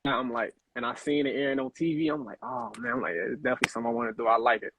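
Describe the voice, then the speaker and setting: A man's voice: mid-range voice, expressive, rapid-fire, vocal fry, background noise. A young man from Chicago, an actor guest in a casual interview, talking in a laid-back, rambling way full of fillers over a video call. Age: young adult. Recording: tinny phone-like line.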